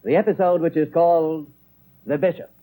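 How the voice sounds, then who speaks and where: A man making a formal, deliberate scripted announcement in 1930s radio style. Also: fairly high pitch, steady tone, poor audio. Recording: vintage 1930s radio broadcast.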